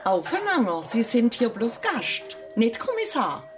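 A blunt Swabian woman in a radio drama, brisk and no-nonsense. Her fairly high voice swings widely in pitch over faint music. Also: wildly swooping pitch.

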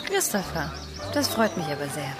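A woman's voice: low voice, very animated, moderate pace. An actress playing a poised, teasing young society lady in a radio drama, playful yet cool. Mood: pleased, coy. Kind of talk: German radio drama acting. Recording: loud music behind voice.

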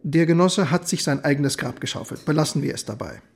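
Husky, officious police chief. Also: male voice, on the high side, animated, a little fast, exaggerated voice. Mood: cold, curt. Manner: hemming, then stiff and dismissive. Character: evasive, officious East German police chief, feigning calm. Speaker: head of district police office. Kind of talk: radio drama dialogue.

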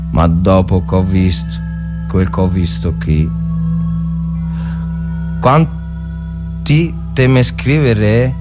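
A man voicing a homesick peasant soldier reading out his letter home, plainly and haltingly, with a northern Italian accent. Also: fairly low pitch. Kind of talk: dramatic literary reading. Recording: loud music under the voice.

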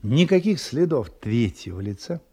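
An actor playing a shrewd, brooding English detective in an audio drama, speaking deliberately and theatrically, with ironic turns and thoughtful pauses in a gravelly voice. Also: male voice, medium pitch, very animated, warm tone. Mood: brooding, uneasy. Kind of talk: Russian audio drama acting.

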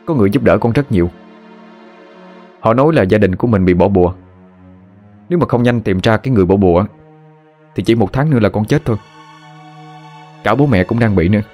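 A man voicing a sick young man who talks quickly and earnestly. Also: lowish voice, animated, a little mellow, faint music behind. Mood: reassuring.

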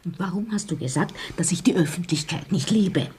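An actress playing a timid, hurt middle-aged wife in a radio play, plaintive, hushed and breathy. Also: female voice, on the low side, fairly quick. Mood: hurt, protesting. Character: timid, wounded middle-aged councillor's wife, quietly protesting.

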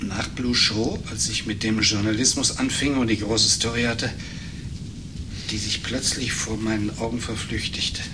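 An actor playing an agitated, embittered failed writer in a German radio play, speaking haltingly and accusingly. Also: male voice, lowish voice, level tone, close to an everyday voice. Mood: wounded, furious. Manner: halting, emotionally wrought, accusing. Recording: noisy 1980s radio play recording.

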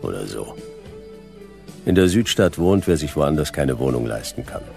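Abgrundtief knarrender, trockener Privatdetektiv. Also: male voice, rumbling low, normal speed, creaky, soft background music, exaggerated voice. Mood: dry, sardonic. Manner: dry, clipped, deadpan. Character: laconic, sardonic male private detective narrator. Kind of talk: hard-boiled radio drama narration.

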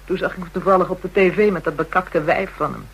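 A woman's voice: fairly low pitch, fast talker, close to an everyday voice. Woman acting brazen spiteful call girl in a radio play, crude slangy talk, bit of hum on the recording. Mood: scornful, gleeful.

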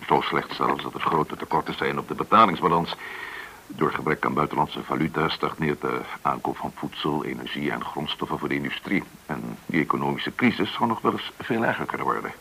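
An actor in a radio play as a courteous, probing government agent, explaining things with some hesitation. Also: male voice, very deep voice, lively intonation, brisk, gravelly, sharp tone, breathy edge, heavily acted, low-quality recording. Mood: grave, explaining. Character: polite, probing male government agent recruiting an informant.

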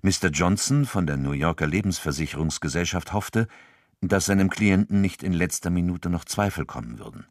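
Deep-voiced male narrator. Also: lively intonation, brisk, no echo, clean studio sound. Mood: sober. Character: sober male crime-story narrator. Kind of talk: scripted literary narration.

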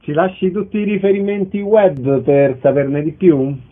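A man's voice: fairly high pitch, little pitch movement, normal speed. A man hosting a radio show, asking short questions and announcing details in a concise, orderly way. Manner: concise, orderly presenter delivery. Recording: band-limited tinny sound, faint noise.

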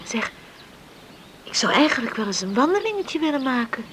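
An actress playing a spirited young countrywoman on the mend in a Dutch radio drama, wistful yet lively. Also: high-pitched, moderate pace, slight background noise. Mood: spirited, determined.

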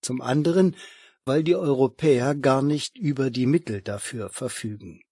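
Male reader for scripted news commentary, rough husky voice, dry close sound. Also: mid-range voice, normal speed, warm tone, dry recording. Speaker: article reader. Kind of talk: read-aloud news commentary.

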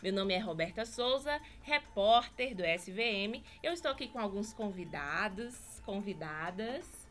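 A woman's voice: mid-range voice, expressive, measured pace, few pauses, fairly bright tone, faint music behind. A woman reporter hosting a podcast, introducing guests and asking them questions.

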